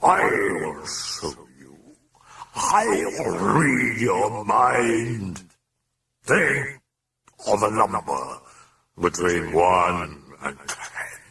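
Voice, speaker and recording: A man voicing a sly, taunting, grandiose demon in a croaking, breathy rasp, drawn out with mock gentility for an audiobook. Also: fairly low pitch, very animated, bright, forceful tone, recorded from a distance, a little hiss or hum, heavily acted. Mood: menacing. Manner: drawn-out, mock-genteel, theatrical delivery. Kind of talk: dramatic audiobook character voice.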